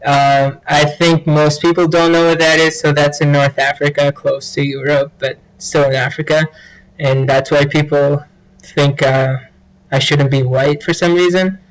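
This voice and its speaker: A man's voice: on the high side, little pitch movement, measured pace, low-quality recording. A young man from Tunisia, an international student explaining himself earnestly in an interview. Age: young adult. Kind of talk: documentary interview.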